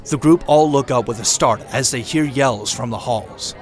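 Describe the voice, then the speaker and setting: A man's voice: medium pitch, normal speed. A man reading scripted dramatic narration for an audio drama, describing action scenes as a storyteller. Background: clear dramatic music.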